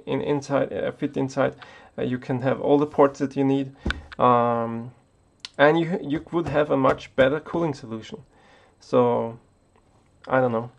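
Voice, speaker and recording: A man's voice: medium pitch, gravelly, darkish tone. A man from Austria, not an English speaker, talking unscripted to the camera as a tech YouTuber, hesitant with many restarts.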